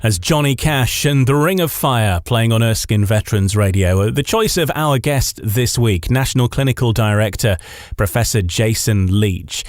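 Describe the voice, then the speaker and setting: A man hosts a radio show in a presenter's style, linking segments and putting questions to a guest. His voice is of average pitch. The sound is dry and close, with no room echo. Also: moderate pace. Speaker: radio host and interviewer.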